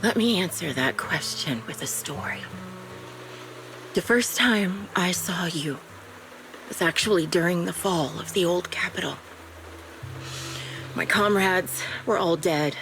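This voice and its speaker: An actress playing a bitter, vengeful, dying veteran sniper in a video game, speaking in a grave, halting way. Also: female voice, fairly low pitch, a bit bright. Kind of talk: dramatic video game voice acting. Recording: music behind the voice.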